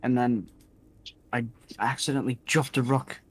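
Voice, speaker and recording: A man telling improvised stories casually, rambling and halting, often repeating his phrases. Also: mid-range voice, fairly even intonation. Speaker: storyteller in a gaming video. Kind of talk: casual improvised storytelling while gaming.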